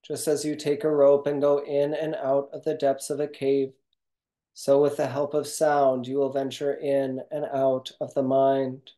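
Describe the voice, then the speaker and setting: A yoga nidra instructor reading a guided meditation script in a calm, measured, instructional way. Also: mid-range voice.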